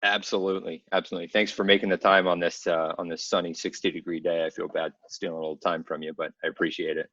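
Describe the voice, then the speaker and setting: Low-voiced man hosting, roomy dull audio. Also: flat delivery, quick pace, hardly any pauses, a bit bright. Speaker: podcast host and interviewer. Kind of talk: upbeat interview podcast hosting, conversational.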